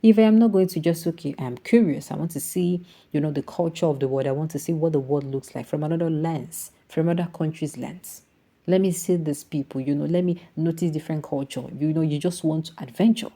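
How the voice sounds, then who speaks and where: A woman's voice: low voice, brisk, dark tone. A woman with a Nigerian accent giving opinionated, off-the-cuff commentary, emphatic and full of rhetorical questions, sometimes slipping into Pidgin. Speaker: online political commentator.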